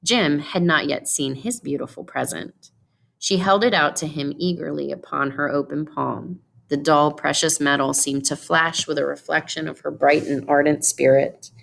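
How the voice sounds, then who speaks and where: A woman reading a short story aloud as expressive scripted narration. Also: low-pitched, moderate pace, vocal fry. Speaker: story reader.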